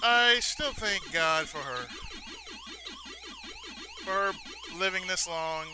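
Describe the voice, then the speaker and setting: High-pitched, animated man rambling over a game. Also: slow, music in the background. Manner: rambling, sentimental, repeats phrases. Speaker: gaming video host. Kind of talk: casual let's-play commentary. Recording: noisy, game sounds behind voice. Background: arcade-style video game sounds.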